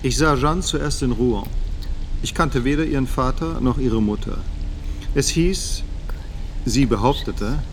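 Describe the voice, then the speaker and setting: An actor playing a careful middle-aged theology professor giving formal, measured testimony in a radio drama. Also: male voice, medium pitch, a little hiss or hum, lightly acted. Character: guarded, careful 56-year-old theology professor testifying as witness.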